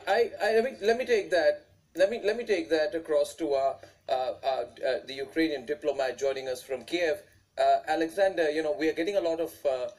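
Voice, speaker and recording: A man's voice: fairly high pitch, a little mellow, poor audio. A man moderating a debate, cutting in to steer the discussion between guests. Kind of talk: hosting a televised debate.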